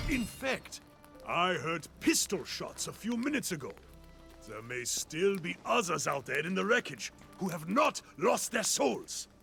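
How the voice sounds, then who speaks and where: An actor playing a hearty, optimistic warrior mentor in a video game, with a gravelly voice and a boisterous, theatrical delivery. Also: male voice, high voice, pushed and bright, exaggerated voice. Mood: upbeat. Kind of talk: video game cutscene acting.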